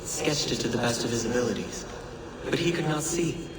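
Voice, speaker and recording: Cryptic game oracle male actor, mid-pitched, grave and oratorical, heard from afar through loud noise. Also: natural voice. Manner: grave, oratorical, deliberate phrasing. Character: cryptic, solemn male oracle musing on time. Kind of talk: scripted dramatic monologue in video game. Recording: echoey, distant, loud ambient noise.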